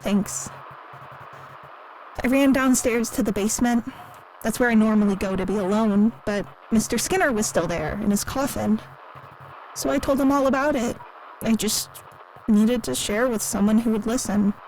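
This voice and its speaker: An actress plays a meek, lonely woman giving an interview in an audio drama. Her voice sits high and she speaks hesitantly, earnest and naive. Clear background noise runs under the recording. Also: normal speed, pauses often, lightly acted, rough recording. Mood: timid, confiding. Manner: hesitant, soft-spoken, earnest. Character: timid, lonely, naive female museum worker defending a monster.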